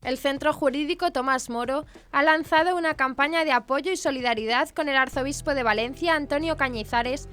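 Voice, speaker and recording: A high-voiced woman from presents scripted news. Her reading is formal bulletin narration, with faint music underneath. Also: a bit bright.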